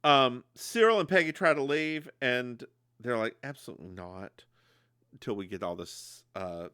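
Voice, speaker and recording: A man's voice: medium pitch, normal speed, clean tone, no room sound, clean studio sound. A man co-hosting a podcast, recapping a TV episode in casual chat and slipping into voices when he quotes characters. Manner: animated storyteller, slips into voices when quoting.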